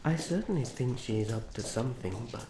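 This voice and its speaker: A man playing a young elf prince in a fantasy ASMR roleplay, formal, soft-spoken, gentle and protective. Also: mid-range voice, expressive, fairly quick, close to an everyday voice. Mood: gently concerned. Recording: roomy echo, faint background noise.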